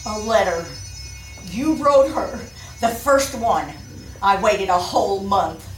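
A woman's voice: mid-range voice, close to an everyday voice. An actress playing a determined, anxious young woman in an old-time radio drama, speaking earnestly and pointedly. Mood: accusing, distressed. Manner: deliberate, earnest, pointed questioning. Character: determined, anxious young woman seeking her missing sister. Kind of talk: old-time radio acting before a live audience. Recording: echoey live stage, distant mic, faint music.

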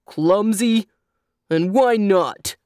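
A woman's voice: lowish voice, lively intonation, a little slow, a little mellow, no echo, clean studio sound. A woman voicing a clumsy male donkey from a children's story, angry, indignant and full of complaint. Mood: angry, grumbling. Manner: grumbling, complaining. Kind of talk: children's storybook character reading.

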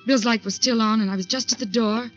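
Actress as high-voiced anxious woman, old radio. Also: steady tone, fast, close to an everyday voice. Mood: haunted, tense. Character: anxious lovestruck young woman narrating her own noir ordeal. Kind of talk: 1940s radio drama acting with first-person narration. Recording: muffled 1940s radio recording with music.

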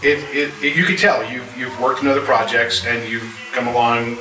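A man speaking haltingly and giving compliments during a casual live panel discussion. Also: mid-range voice, fairly even intonation. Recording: echoey, distant, noisy live room.

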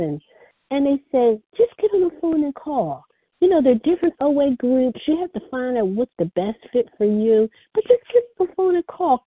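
Soft-spoken woman over a phone line. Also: a little high, slightly rough, dry recording. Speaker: speaker sharing at a recovery phone meeting. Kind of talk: personal recovery share.

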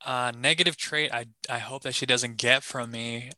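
A man on a podcast chatting casually, throwing in quick joking asides. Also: medium pitch, steady tone, sharp tone, dry recording. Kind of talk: casual chat.

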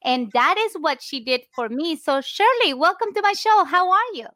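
A woman with a Spanish accent hosts a casual interview, her voice high and bright. She talks effusively with plenty of fillers, and the recording is dry and close. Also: lively intonation, normal speed, no echo. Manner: warm, effusive and grateful, with fillers. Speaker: podcast host.

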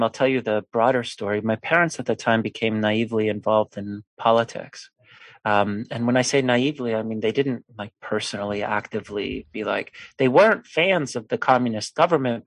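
A man in his forties from Poland, a podcast guest telling a personal story in a lively conversational way and acting out the people he quotes. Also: mid-range voice, dry recording. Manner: animated storyteller who acts out quoted voices.

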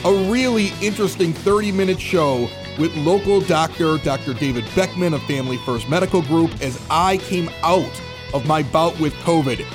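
A man's voice: fairly high pitch, normal speed, over music. A man hosting a local podcast in a chatty, conversational style. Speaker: podcast host. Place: home basement bar studio.

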